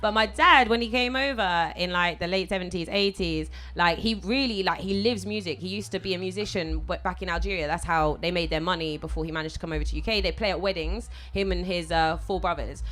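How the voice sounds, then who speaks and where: Relaxed British woman hosting a panel. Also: on the low side, brisk, few pauses. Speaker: panel host. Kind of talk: festival panel talk.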